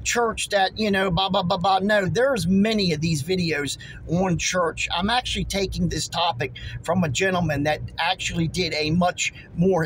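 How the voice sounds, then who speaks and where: A hoarse man in his forties talks into a phone held close inside his car, earnest and emphatic, circling back over his points. His voice sits a little high for a man, and faint background noise hangs under it. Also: poor audio. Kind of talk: casual preaching to camera.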